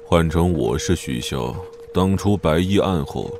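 An actor playing a blunt, formidable war god general in a martial-arts audio drama, speaking tersely. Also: male voice, sits low, expressive, unhurried, music in the background. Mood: blunt, unguarded. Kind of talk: Chinese wuxia audio drama acting.

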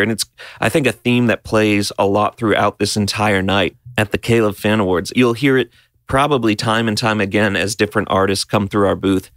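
Man, podcast host, chatty, upbeat, lowish voice, dry close mic. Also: fairly bright tone, no room sound. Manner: upbeat, laughs often, rambling questions. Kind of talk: casual backstage interview and recap. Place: backstage interview booth.

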